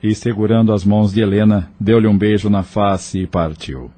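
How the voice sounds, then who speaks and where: A man's voice: fairly low pitch, moderate pace, dark tone. A man reading scripted narration for an audio novel.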